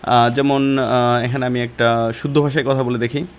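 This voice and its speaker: A man with a Bangladeshi accent teaching a step-by-step software lesson in informal, colloquial speech. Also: medium pitch, level tone, moderate pace, a little hiss or hum. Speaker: online course instructor.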